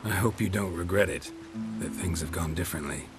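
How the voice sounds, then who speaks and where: A man playing a stoic, dry-witted monster hunter in a video game cutscene, with terse, restrained, deadpan delivery. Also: low-pitched, fairly quick, loud music behind the voice, natural voice. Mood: calm, wry.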